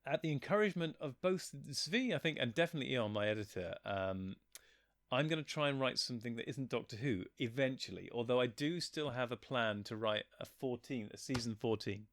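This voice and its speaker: A man with a medium-pitched voice talks casually as an interview guest. He sounds like a writer in relaxed conversation, recorded dry with very little echo. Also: fairly quick. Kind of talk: casual chat.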